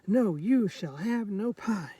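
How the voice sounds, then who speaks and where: Storybook mother cat, acted by a man: high voice, strict yet fond, drawn-out exclaiming rhymes. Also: lively intonation, mellow, husky, heavily acted. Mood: scolding. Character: scolding mother cat of three kittens, strict but fond.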